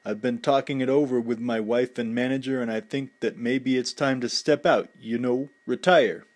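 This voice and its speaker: A man voicing a humble, plainspoken male boxing champion who talks in a halting way. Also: mid-range voice, fairly even intonation, close to an everyday voice.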